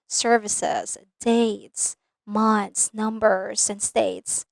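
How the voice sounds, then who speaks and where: A woman with a Filipino accent walks the viewer through a business lesson, speaking directly and pausing to check that they follow. Her voice sits a little high, and the close mic gives a dry sound with no room echo. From Philippines. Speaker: business coach. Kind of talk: scripted instructional video.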